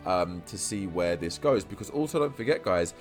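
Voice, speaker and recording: A man with a low voice talks casually through his theories for a video, tripping over words and stuttering as he rambles along quickly. He restarts phrases and repeats himself as thoughts pile up. Faint music plays underneath. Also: expressive. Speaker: YouTube lore creator. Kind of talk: casual game-lore vlog commentary.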